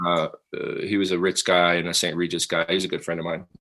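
A man's voice: low-pitched, level tone, quick pace, sharp tone. A man hosting a casual chat, asking hesitant questions full of fillers. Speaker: podcast host.

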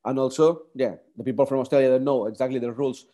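A man, speaking English as an in a podcast interview, halting with many restarts. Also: medium pitch, steady tone, mellow. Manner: halting, many restarts and fillers, non-native phrasing. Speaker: padel business founder, guest.

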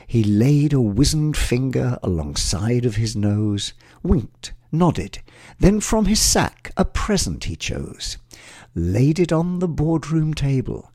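A man narrating a rhyming Christmas tale in a measured, expressive recitation. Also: mid-range voice, no echo, clean studio sound.

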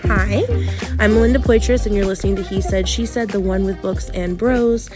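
A woman's voice: mid-range voice, steady tone, normal speed. Woman podcast host, upbeat and effusive, faint music behind. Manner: upbeat and effusive, laughs easily. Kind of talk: casual chat.

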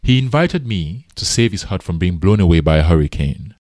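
A man reads as a storybook elephant, a sly minister of the jungle. The voice is low and dry, smooth in manner, superior and sure of its own importance. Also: moderate pace, a little mellow, no echo, lightly acted. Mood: pompous. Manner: soothing, authoritative, superior.

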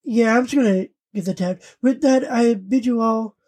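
A woman's voice: fairly high pitch, moderate pace, dark tone. A woman co-hosting a podcast, chatting casually and acting out bits she recalls. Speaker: podcast co-host. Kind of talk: casual chat about an anime parody.

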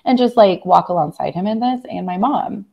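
A young adult woman, hoarse from laryngitis, earnestly recounting a personal family story in a conversation, with many fillers and restarts. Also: medium pitch, a little fast, lo-fi sound. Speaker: guest.

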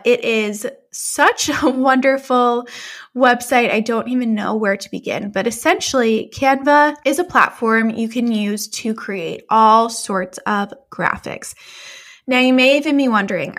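A woman's voice: a little high, a bit bright. A young woman hosting a podcast, chatting in a casual, upbeat way while fighting a cold, with frequent light laughs. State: has a cold. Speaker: podcast host.

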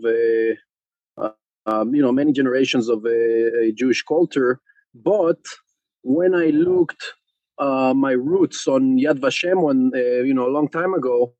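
A man's voice: mid-range voice, darkish tone, no echo, low-quality recording. Male guest, hesitant storyteller, very dry close sound. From Mexico. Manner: hesitant, many fillers and restarts. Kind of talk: conversational interview.

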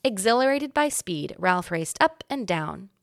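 A woman's voice: mid-range voice, big pitch swings, unhurried, no echo, studio quality. A woman narrating a children's storybook expressively as a guest reader.

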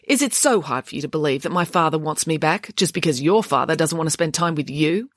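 A woman's voice: low voice, expressive, a little fast, no echo, studio quality. A woman voicing a sullen, wounded teenage boy in a storybook, defensive in manner. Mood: sullen, hurt.

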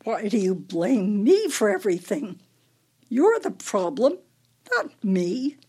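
An actress in a radio play performing a confused, volatile older wife with failing memory. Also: female voice, mid-range voice, sing-song, slow pace, mellow, breathy edge, full character voice. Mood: angry accusing. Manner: agitated, swinging between accusation and childlike politeness.

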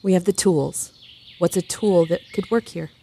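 An actress playing a calm, supportive counselor friend in an audio drama, coaxing in a measured, soothing manner. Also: female voice, lowish voice, vocal fry, a little hiss or hum. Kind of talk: fiction podcast audio drama acting.

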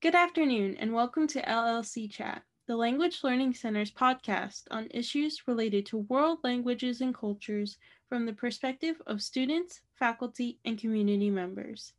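Higher-voiced woman hosting a podcast. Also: normal speed, hardly any pauses. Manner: polite, welcoming, reads a set opening. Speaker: podcast co-host. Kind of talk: scripted podcast intro and interview questions.

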